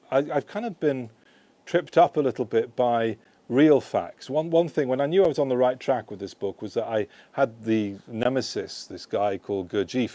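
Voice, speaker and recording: A man's voice: medium pitch, dark tone, no echo. A man, an author, answering audience questions at a book launch in a shop, talking in a rambling, conversational way full of "you know" and "right?". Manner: digressive, self-correcting. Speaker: author presenting his book.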